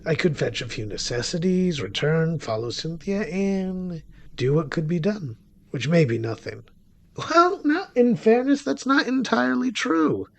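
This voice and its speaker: An actor playing a wry, secretive male witch who narrates a horror-thriller audio drama in a theatrical, deliberate manner. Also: high voice, lively intonation, creaky, heavily acted. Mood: resolved, wry. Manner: wry, theatrical, deliberate, with sudden swells and drops. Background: music under the voice.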